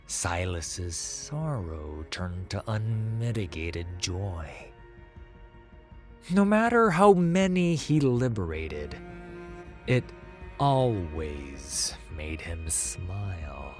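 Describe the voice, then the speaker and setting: A man narrating a dramatic fantasy story in a measured, solemn manner. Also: lowish voice, lively intonation, soft background music.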